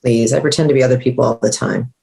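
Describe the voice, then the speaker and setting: A woman's voice: very deep voice, steady tone, quick pace, vocal fry, dark tone. A woman playing a sardonic, easily flustered undercover agent at a screenplay table read. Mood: dry, irritated.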